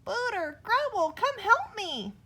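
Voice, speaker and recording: A woman voicing a timid, excitable young male monster who stammers when nervous in a storybook reading. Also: very high-pitched, takes it slowly, voice pushed up, exaggerated voice. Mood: frightened.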